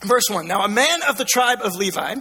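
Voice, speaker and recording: A man's voice: high-pitched, lively intonation, fairly quick, bright, forceful tone, breathy edge. A man with an American accent preaching a sermon in an emphatic, colloquial way, repeating phrases, his voice scratchy and strained. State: scratchy, strained voice going hoarse. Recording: long hall reverb.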